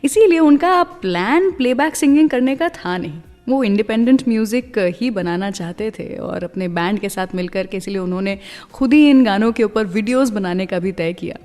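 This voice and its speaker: A woman's voice: medium pitch, expressive, normal speed, a little mellow, long reverb tail. An Indian woman presenting a scripted radio show, telling stories and addressing listeners directly, mixing in English phrases. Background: music.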